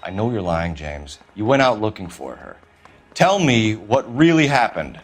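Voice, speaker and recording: A man's voice: lowish voice, sing-song, normal speed, faint music behind. An American man voicing a stern, accusing young police detective in a crime drama video game, clipped in his questioning. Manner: clipped, forceful interrogator.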